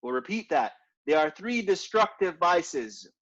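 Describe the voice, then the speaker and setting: A man's voice: sits high, big pitch swings, unhurried, fairly bright tone. A man teaching an informal religious class in a conversational way, repeating his points and often asking "right?". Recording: dull lo-fi recording.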